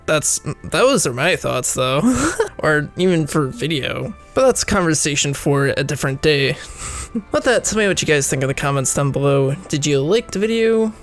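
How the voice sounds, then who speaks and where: A man's voice: a little high, gravelly, fairly bright tone. A young man with a cold, rambling through casual gaming commentary and laughing often at himself. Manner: rambling, laughs often, self-deprecating asides. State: sick, voice rough. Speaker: gaming video creator.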